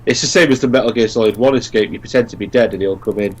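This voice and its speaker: A man's voice: fairly low pitch, racing, a little mellow, a little hiss or hum. A man giving casual co-commentary on a video game, talking as he would with friends. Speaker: let's play co-commentator. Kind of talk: casual gaming commentary chat.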